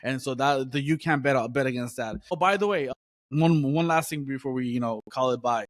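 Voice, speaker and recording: A man's voice: medium pitch, brisk, no room sound, studio quality. A man co-hosting a casual sports podcast, talking emphatically and peppering his lines with "bro" and "dude". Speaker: podcast co-host.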